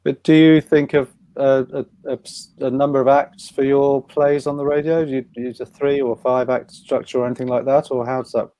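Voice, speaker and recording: A man's voice: medium pitch, little pitch movement, moderate pace, hardly any pauses, dark tone. A man chairing an online writers' panel, asking questions and reading audience questions aloud. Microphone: video call.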